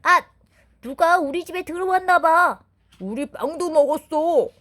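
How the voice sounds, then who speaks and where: A curious little storybook dwarf, put on by a woman. The voice is pitched up and excitable, full of wondering exclamations. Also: unhurried. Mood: surprised, curious. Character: small, curious, excitable fairy-tale dwarfs.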